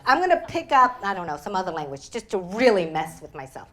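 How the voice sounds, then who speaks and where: A woman's voice: medium pitch, very animated, fast. A woman from Vietnam answers questions at a live event. She tells her stories with energy, laughs often and slips into voices for the people she quotes. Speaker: author guest. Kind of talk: live Q&A storytelling.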